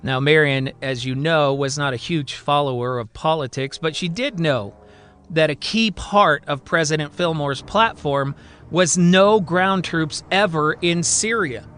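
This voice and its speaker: Dramatic male storyteller with a bright, intense voice. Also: fairly high pitch, a little slow, smooth, soft background music. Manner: theatrical, emphatic, suspenseful delivery. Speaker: storyteller and podcast host. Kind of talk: dramatic scripted story narration.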